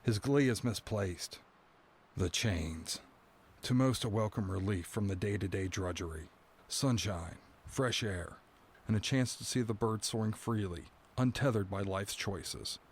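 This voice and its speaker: A man's voice: fairly low pitch, a little slow, a little hiss or hum. An actor playing a dread-filled prisoner awaiting his doom, delivering a brooding first-person monologue in an audio drama. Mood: bitter resignation. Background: background music.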